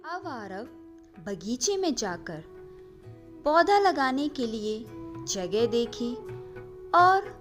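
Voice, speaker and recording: A woman narrating a scripted story for children as its storyteller. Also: sits high.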